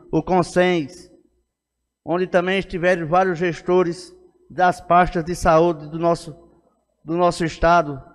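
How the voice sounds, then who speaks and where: High-voiced Northeastern Brazilian man, echoey hall. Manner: emphatic, insistent, oratorical. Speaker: city councillor. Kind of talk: political speech in a plenary session. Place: indoor council chamber at a lectern. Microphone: gooseneck podium microphone. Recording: echoey hall with long reverb.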